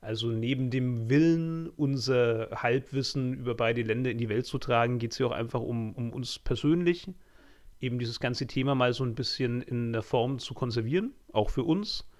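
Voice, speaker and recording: A man's voice: mid-range voice, moderate pace, a little mellow. A man from Franconia with a Franconian German accent, co-hosting a podcast and introducing it in a wordy, self-deprecating way with frequent ums. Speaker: podcast co-host.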